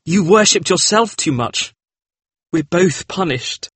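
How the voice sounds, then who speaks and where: A man's voice: high voice, animated, slow, fairly bright tone, slightly rough, dry recording, voice pushed up, exaggerated voice. A man voicing an anguished, pious painter in an audiobook story, agitated and pleading, with great emphasis. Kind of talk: dramatised audiobook character voice.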